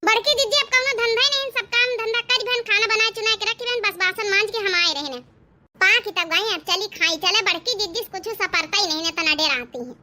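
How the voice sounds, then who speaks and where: A woman with an Awadhi accent voicing a defiant, plotting village daughter-in-law in a comedy cartoon, brisk and bickering. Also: extremely high pitch, sharp tone, slight background noise, full character voice. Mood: coaxing, impatient.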